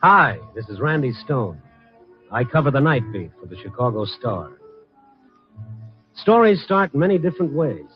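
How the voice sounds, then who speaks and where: An American actor playing a wry, hard-boiled night-beat newspaper columnist in 1950s radio drama style, with streetwise, dramatic storytelling. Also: male voice, medium pitch, big pitch swings, normal speed, long pauses, lightly acted. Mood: brooding, wry. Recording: muffled vintage radio recording, music underneath.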